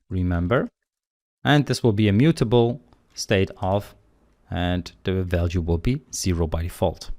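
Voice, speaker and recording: A man with a slightly low voice narrates a screencast coding tutorial. He walks through each line of code in a relaxed, conversational manner, like an instructor explaining his work as he goes. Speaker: programming instructor.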